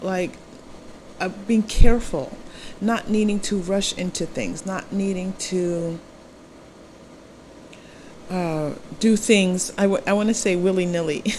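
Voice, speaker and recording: Woman, unhurried pace, gentle reassuring manner, casual tarot reader, reflective pauses, faint air-conditioner hum. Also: medium pitch, rough recording. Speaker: tarot reader, channel host. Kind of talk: casual spoken tarot reading to viewers. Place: temporary indoor recording spot. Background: air conditioning running.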